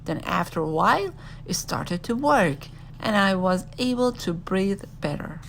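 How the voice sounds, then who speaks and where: A woman reads a children's storybook aloud with lively, swooping intonation. Her mid-pitched voice often drops into a creaky fry. There is a light but noticeable noise in the recording behind her expressive narration. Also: measured pace, rough recording.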